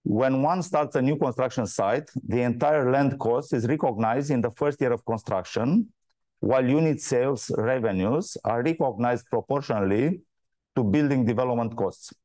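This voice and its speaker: Mellow-voiced man presenting company results. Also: mid-range voice, no echo. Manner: measured, formal, reading from prepared text. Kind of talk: scripted earnings call presentation.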